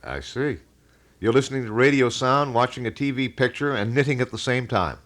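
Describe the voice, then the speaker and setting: A man hosting a local radio talk show, speaking in wordy, measured and detailed explanations. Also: mid-range voice, fairly bright tone. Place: radio studio.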